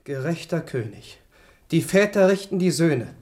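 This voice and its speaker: A defiant young prince. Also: male voice, on the high side, animated, a little slow, a little mellow. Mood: pleading. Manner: pleading, formal, then bitter. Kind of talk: 1970s radio drama acting.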